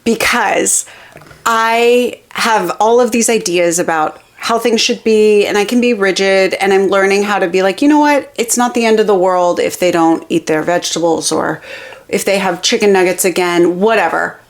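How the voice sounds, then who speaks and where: A woman's voice: medium pitch, normal speed. A woman co-hosting a podcast, telling everyday anecdotes frankly and casually, often quoting herself and other people. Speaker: podcast co-host. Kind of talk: casual chat.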